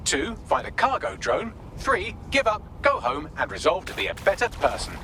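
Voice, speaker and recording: A man's voice: high-pitched, big pitch swings, moderate pace, background noise. A man playing a witty, sardonic AI assistant in a video game, with a dry, articulate, sarcastic manner. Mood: dry teasing. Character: witty, sardonic male AI assistant briefing resistance operatives. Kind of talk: video game mission briefing.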